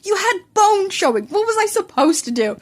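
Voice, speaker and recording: A woman's voice: very high-pitched, big pitch swings, moderate pace, pitched above the performer's own voice. Woman voicing a brash, sneering teenage boy. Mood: exasperated. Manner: blunt, sneering. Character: brash, gruff, sarcastic hot-tempered middle-school boy. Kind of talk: fan fiction audiobook character voice.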